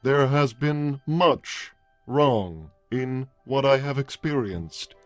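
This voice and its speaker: A man plays a robot peace consul in a livestream roleplay. He speaks slowly and formally, each stilted phrase set down deliberately, with old bitterness underneath. The sound is dry and close, with music playing. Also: medium pitch, dry recording, close to an everyday voice. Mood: solemn, formal. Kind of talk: improvised character roleplay on a livestream.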